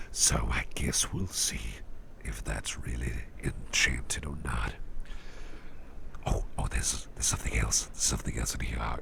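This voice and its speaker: Man playing a very deep, gravelly whispering boss. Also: heavily acted. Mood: musing, calculating, then pleased, admiring. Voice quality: very low, gravelly, breathy near-whisper. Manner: unhurried, hesitant, repeats phrases softly. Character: doting, genteel businessman boss, smooth-talking antique hunter. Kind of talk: ASMR roleplay, soft half-whispered. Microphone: close microphone.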